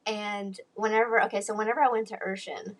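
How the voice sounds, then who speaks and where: A woman's voice: mid-range voice, fairly even intonation, a little fast, low-quality recording. Giggly young Southern woman. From Texas. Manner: chatty, giggly, self-deprecating. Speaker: guest, interior designer. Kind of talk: casual interview chat.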